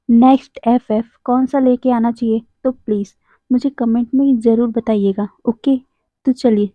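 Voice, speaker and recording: A hoarse woman with a sore throat tells a story to her viewers in a chatty, casual way, often turning aside to speak to them directly. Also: fairly high pitch, dry recording. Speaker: YouTuber retelling fan fiction. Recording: tinny phone-like dry recording.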